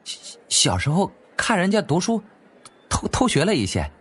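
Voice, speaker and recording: Audiobook refugee lad played by a male narrator: high, nervous, badly stammering, husky. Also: wildly swooping pitch, normal speed, a bit bright, exaggerated voice. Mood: bashful. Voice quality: heavy stutter. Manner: hesitant, nervous. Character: timid stuttering teenage boy, poor refugee craftsman.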